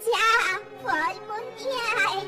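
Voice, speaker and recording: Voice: very high-pitched, slow. A performer voicing a cruel middle-aged stepmother in a melodramatic cartoon, snarling and scolding. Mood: menacing, scolding. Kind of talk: melodramatic cartoon villain acting. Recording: loud children's music behind.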